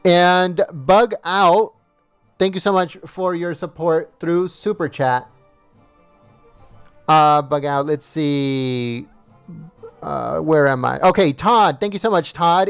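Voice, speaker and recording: A man's voice: on the high side, unhurried, clear, resonant tone, dry recording, faint music behind. A man hosting a livestream, reading out viewer names and thanking each in turn while losing his voice, with small stumbles over names. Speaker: livestream host.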